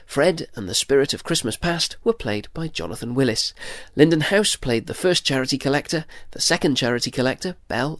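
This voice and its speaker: A man's voice: mid-range voice, no room sound. A man reading scripted credits as a radio announcer.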